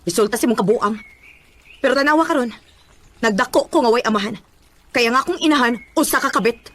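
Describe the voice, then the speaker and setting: An actress playing a guarded, sharp-tongued young shop worker in a radio drama, with a Cebuano Filipino accent. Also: female voice, fairly high pitch, brisk, a little hiss or hum, close to an everyday voice. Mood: bitter.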